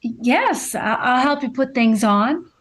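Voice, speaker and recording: A woman's voice: high-pitched, expressive, slow pace, a bit bright, breathy edge, full character voice. An actress playing a gracious middle-aged mother in a radio play, polite and proper in her manner. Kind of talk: old-time radio drama acting.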